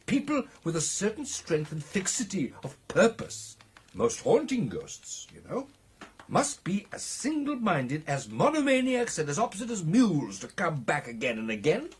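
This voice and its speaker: An actor with a British accent playing a genial, earnest gentleman clubman in a radio drama, speaking in old-fashioned upper-class phrases. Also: high-pitched, wildly swooping pitch, normal speed, slight background noise. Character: genial, earnest English gentleman clubman telling a ghost tale.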